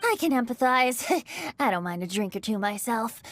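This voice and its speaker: A woman's voice: on the high side, animated, normal speed, a bit bright. A woman voicing a teasing, sweetly knowing sorceress in a video game, coy in manner. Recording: faint music behind.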